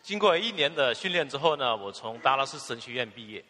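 Male sermon interpreter with a bright, animated voice, filler particles between phrases, echoing in a long-reverb church hall. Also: medium pitch, fast. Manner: fluent, with filler particles and restarts.

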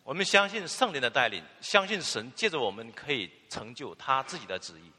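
Male sermon interpreter with a bright, animated voice, filler particles between phrases, echoing in a long-reverb church hall. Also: medium pitch, brisk, breathy edge. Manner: fluent, with filler particles and restarts.

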